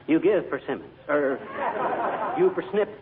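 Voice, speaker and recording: An actor playing a folksy, blustering, easily flustered husband who wisecracks in an old radio comedy. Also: male voice, on the high side, sing-song, a little slow, over a noisy crowd, very noisy. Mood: bemused, exasperated. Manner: folksy, joking, mock-pompous. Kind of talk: 1940s radio comedy acting. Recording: tinny old radio recording, loud audience behind.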